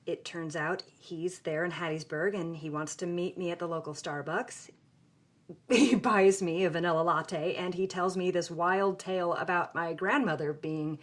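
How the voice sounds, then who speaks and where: An actress plays an outspoken young woman being interviewed in an audio drama. Her voice is fairly low, and she tells her story in a wry, conversational way. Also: steady tone, normal speed, close to an everyday voice. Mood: wry, candid. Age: young adult. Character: frank young woman, film grad student telling her story.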